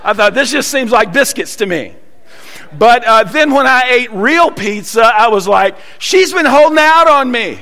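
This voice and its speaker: A man's voice: very high voice, expressive, bright, forceful tone. Southern man preaching in a hall. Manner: folksy storytelling with many pauses and fillers. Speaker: pastor. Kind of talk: sermon. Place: church hall.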